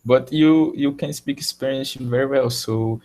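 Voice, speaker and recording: A Brazilian man with a Portuguese accent hosting a live video chat in English, halting and searching for words. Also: medium pitch, warm tone, rough recording. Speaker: live show host.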